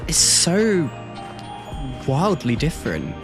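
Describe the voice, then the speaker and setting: A man's voice: a little high, huge pitch swings, takes it slowly, over music. A man hosting a livestream with casual reaction commentary, thinking aloud in a hesitant way and repeating words as he goes. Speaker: livestream host.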